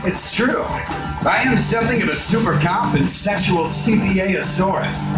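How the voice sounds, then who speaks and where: A man's voice: high-pitched, normal speed, lightly acted. A man playing a pompous, lecherous, dim-witted space cowboy detective in a comic radio drama. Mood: heroic bravado. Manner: pompous, cocky. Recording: roomy, distant, loud music behind.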